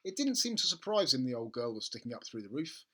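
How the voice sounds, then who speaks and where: Casting: children's storybook narrator, theatrical and expressive, very lively intonation, bright husky voice. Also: medium pitch, quick pace. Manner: animated, theatrical. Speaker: storybook reader.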